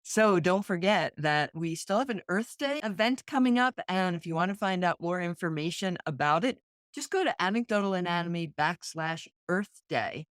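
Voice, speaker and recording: A woman's voice: fairly low pitch, lively intonation, normal speed, dry recording, clean studio sound. A woman co-hosting a casual podcast, reflecting earnestly on feelings and senses. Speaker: podcast co-host.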